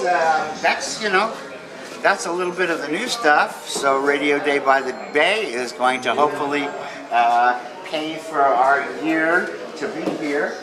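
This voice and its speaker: Casting: male tour guide, informal and welcoming, stop-start delivery, echoey interior with crowd chatter. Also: medium pitch. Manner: halting, welcoming. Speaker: tour guide showing a radio society museum. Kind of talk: informal walk-through tour narration. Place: walking through museum building during a public event.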